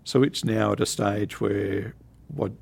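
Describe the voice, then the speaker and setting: Gravelly, husky man explaining a road plan. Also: fairly low pitch, a little mellow. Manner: measured, with frequent ums. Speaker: council technical services director. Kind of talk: interview answers.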